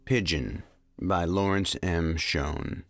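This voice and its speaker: A man's voice: sits low, slow, creaky. A man reading scripted short fiction as a story narrator. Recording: music bed under narration.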